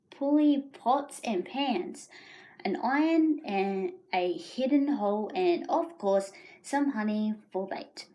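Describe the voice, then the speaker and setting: A woman reads a children's story aloud as an amateur. Her voice sits a little high and she takes it very slowly. The delivery is halting, with stumbles over words. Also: a little mellow, poor audio.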